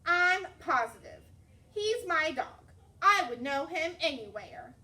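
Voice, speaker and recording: A woman's voice: very high-pitched, big pitch swings, slow pace, sharp tone, voice pushed up, exaggerated voice. A woman voicing an earnest, polite young girl from a children's storybook. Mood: insistent. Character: earnest, polite young girl who loves her dog.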